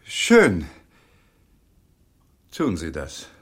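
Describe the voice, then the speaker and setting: An actor playing a brooding, guilt-ridden married lawyer in a German radio drama, courteous and evasive, sometimes dropping into a murmur. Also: male voice, low-pitched, wildly swooping pitch, very slow, slightly rough, full character voice. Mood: polite. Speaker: actor in crime radio play. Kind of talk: German radio drama acting with inner monologue.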